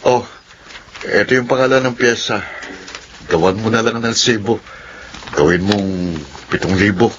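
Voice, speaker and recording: A man's voice: fairly low pitch, unhurried, long pauses, natural voice. An actor playing a brash young Filipino man, a defiant, self-justifying swindler in a radio drama. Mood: sly, dismissive. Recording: dull lo-fi radio drama audio.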